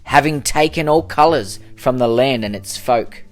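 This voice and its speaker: A man narrating a rhyming children's storybook as host, in an expressive, dramatic storytelling manner. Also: medium pitch, normal speed, faint music behind. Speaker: storyteller, host of a children's read-aloud show.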